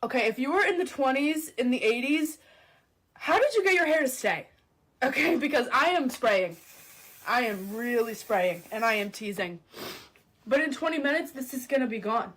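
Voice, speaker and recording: Female, twenties, high voice, informal conversational social-video delivery. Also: lively intonation, normal speed, fairly bright tone, rough recording. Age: 20s. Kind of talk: casual direct-to-camera chat.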